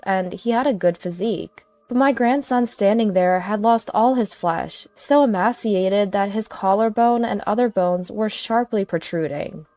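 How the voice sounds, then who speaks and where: Female story narrator, mid-pitched, scripted dramatic first-person read, dry studio-style sound. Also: a little mellow, dry recording.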